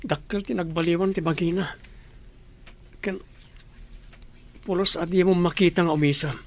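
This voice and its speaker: A man playing a troubled young man in an Ilocano radio drama, animated and emotional, with an Ilocano accent. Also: high voice, moderate pace. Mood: troubled, regretful.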